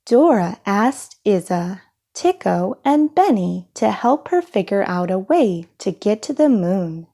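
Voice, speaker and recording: A woman reading a children's story aloud in an expressive, unhurried storytelling manner. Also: mid-range voice, gravelly. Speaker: storybook reader.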